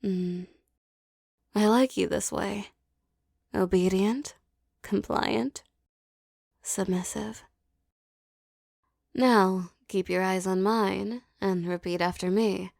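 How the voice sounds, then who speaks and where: Slow, gravelly-voiced commanding woman. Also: medium pitch, fairly even intonation, no echo, clean studio sound. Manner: slow, soft, commanding and teasing. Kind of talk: scripted hypnosis roleplay audio.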